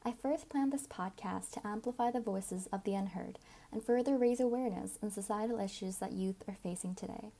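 An eighteen-year-old woman from South Korea hosts a podcast and reads a prepared introduction in a friendly, even way. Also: medium pitch, brisk. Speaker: podcast host and founder.

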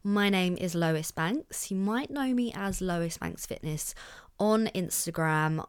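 A young woman hosting her own podcast in casual chat, upbeat and chatty, her voice croaky and husky from being ill. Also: on the low side. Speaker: podcast host.

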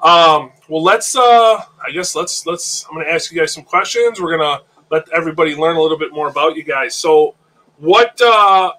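Man, host, nasal, stuffy, bright voice, slightly high, casual live chat, repeats words. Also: moderate pace, poor audio. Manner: easygoing, repeats words while thinking. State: nasal, getting over an illness. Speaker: host of a live reptile-hobby show.

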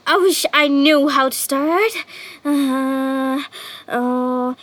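Actress as excitable farce daughter, shrill high pitch, drawn-out slow delivery, melodramatic, quarrelsome, theatrical. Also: female voice, dry recording, full character voice. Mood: eager, placating. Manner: melodramatic, wailing. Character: excitable grown-up daughter, quarrelsome, desperate for a suitor. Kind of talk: theatrical stage farce acting.

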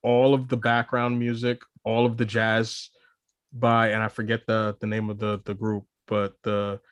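An American man chatting casually on a podcast, in a halting way. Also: on the low side, monotone, no room sound. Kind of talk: casual chat.

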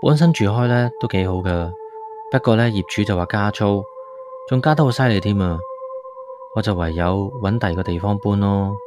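A low male voice with a Hong Kong accent, a man acting as an uneasy city tenant in a radio ghost story. He confides in the listener in colloquial, conversational narration. Music plays clearly behind him. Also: moderate pace, natural voice. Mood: matter-of-fact. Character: uneasy male city tenant moved to an old village house. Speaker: narrator and lead character of a radio drama. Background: background music.

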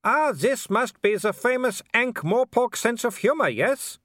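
A gleeful, cruel werewolf leader, put on by a male audiobook reader, calls out in a high, strained voice with an odd, altered tone. He stretches his taunts out slowly and loudly, as if calling across snow. The recording is close and dry. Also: animated, dry recording, heavily acted. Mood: taunting.

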